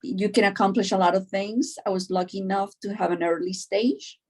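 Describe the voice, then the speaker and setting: Middle aged cuban born lady doctor, mid pitch, telling her story kinda haltingly. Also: steady tone, breathy edge. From Cuba, later Florida. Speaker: doctor, interview guest. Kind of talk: conversational personal account.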